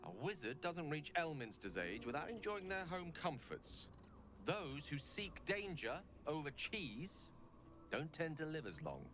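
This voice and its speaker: An actor playing a refined, wistful wizard in a video game, speaking eloquently and reflectively. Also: male voice, on the high side, very animated, noisy background. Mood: wry, heavy-hearted. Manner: eloquent, reflective, measured phrasing. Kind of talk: video game dramatic acting. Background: music.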